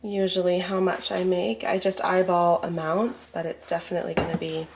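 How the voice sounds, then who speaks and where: A woman's voice: lowish voice, level tone, moderate pace. A woman in her thirties with slight congestion from a cold, chatting casually in a cooking vlog at home. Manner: chatty and rambling, with many "like"s and restarts. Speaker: vlogger mom cooking for her family. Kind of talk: casual cook-with-me chat. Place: home kitchen, indoors. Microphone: camera set in a kitchen cupboard. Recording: clear background room noise.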